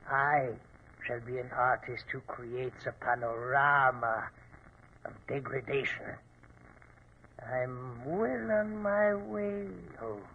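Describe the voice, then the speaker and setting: An actor playing a suave, grandiose criminal mastermind with measured, courteous menace in 1940s radio style. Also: male voice, medium pitch, gravelly. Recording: muffled, noisy 1940s radio recording.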